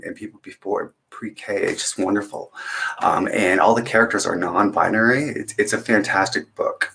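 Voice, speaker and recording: A man's voice: lowish voice, creaky, fairly bright tone. A man reading a picture book aloud as a children's story time host, adding chatty, encouraging asides to young listeners.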